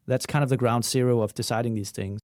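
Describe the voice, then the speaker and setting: Mellow-voiced man in relaxed discussion. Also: medium pitch, quick pace, dry recording, studio quality. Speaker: podcast panelist, communications professional. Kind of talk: casual panel discussion.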